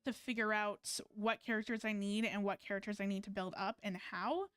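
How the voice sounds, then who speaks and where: A woman's voice: mid-range voice, moderate pace, a bit bright, smooth, dry recording, soft background music. A young woman from Canada streaming a game, chatting casually with viewers in a rambling, hesitant way full of fillers and restarts. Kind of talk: casual gameplay chat with viewers.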